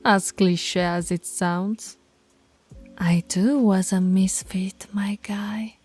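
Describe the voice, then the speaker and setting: A woman voicing a dominant female alpha werewolf in an intimate roleplay, commanding yet affectionate, with a breathy, husky voice and slow, deliberate delivery. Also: mid-range voice, fairly even intonation, a little mellow, faint music behind. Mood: earnest, tender. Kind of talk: intimate ASMR roleplay voice acting.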